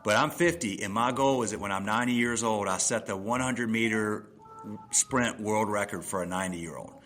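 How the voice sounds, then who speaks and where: A man's voice: fairly low pitch, moderate pace, fairly bright tone, slight background noise. A man in his fifties hosting a podcast interview, talking casually and enthusiastically at a desk microphone, explaining ideas with everyday examples. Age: 50s. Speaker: podcast host. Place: room at a table with laptop.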